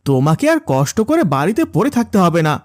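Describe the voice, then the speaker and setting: A man's voice: fairly high pitch, wildly swooping pitch, quick pace. A man voicing a selfish grown son in a moral cartoon, coaxing and pleading with his mother. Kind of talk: Bengali moral cartoon dialogue.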